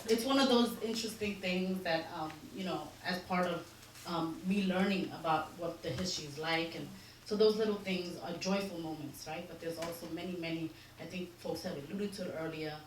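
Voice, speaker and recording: Thirties woman organizer, chatty and self-mocking, lowish voice, far off in a very reverberant, noisy hall. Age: 30s. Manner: lively, laughing, self-deprecating asides. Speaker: community organizer. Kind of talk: informal talk to doctors. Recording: very echoey hall audio from far off, with noise.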